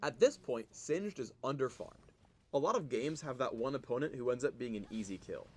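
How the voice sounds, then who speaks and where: A man's voice: mid-range voice, animated, a little fast. A man presenting a game spotlight in scripted, instructional tutorial narration. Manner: instructional, explanatory.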